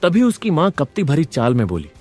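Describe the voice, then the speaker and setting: A man's voice: on the high side, wildly swooping pitch, fairly quick, darkish tone, husky, soft background music. A man reading scripted narration for a moral story as its narrator.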